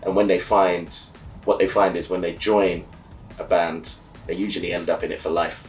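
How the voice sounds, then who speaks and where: A man born and raised in the UK who leads a carnival band, explaining carnival in a relaxed, easygoing way, often saying "you know" and "so yeah". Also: low-pitched, moderate pace, faint music behind. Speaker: carnival band leader and soca DJ. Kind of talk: casual explainer talk to camera.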